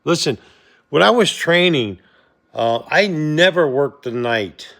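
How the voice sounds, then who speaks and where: A middle-aged or older man giving an impassioned personal monologue, speaking emphatically and haltingly, repeating himself for stress. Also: on the high side, expressive, gravelly, a bit bright. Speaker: former Navy air traffic controller speaking to viewers.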